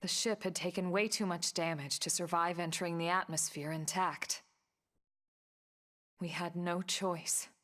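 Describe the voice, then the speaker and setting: A woman playing a serious, composed military officer in a video game, explaining things in a measured, matter-of-fact way. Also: lowish voice, fairly even intonation, a bit bright. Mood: grave, measured. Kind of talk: English video game cutscene acting.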